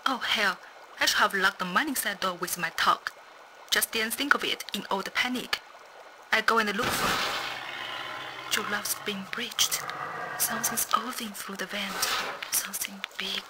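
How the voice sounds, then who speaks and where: A woman's voice: medium pitch, measured pace, long pauses, lightly acted. An actress playing a frightened, doomed female scientist sending an urgent warning in clipped phrases, in a horror game audio log. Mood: panicked, resigned. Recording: faintly noisy audio-log recording.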